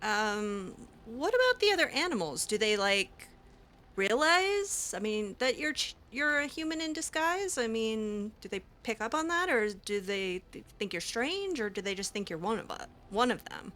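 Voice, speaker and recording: A woman's voice: fairly high pitch, sing-song, normal speed, fairly bright tone. A woman roleplaying a curious young female mage, talking in a hesitant, chatty way full of ums and restarts. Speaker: roleplaying player character. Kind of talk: casual in-character roleplay.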